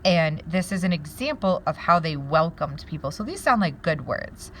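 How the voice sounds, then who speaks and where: A woman teacher doing a read-aloud lesson, pausing to wonder aloud and explain words to her pupils. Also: low-pitched, expressive, a little hiss or hum, poor audio.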